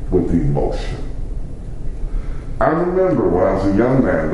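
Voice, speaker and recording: A middle-aged preacher delivers a sermon with emphatic pauses and a lively, rising and falling delivery. His voice is warm and mellow. The sound rings in a very reverberant room with faint ambience behind it. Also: fairly low pitch, a little hiss or hum. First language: English.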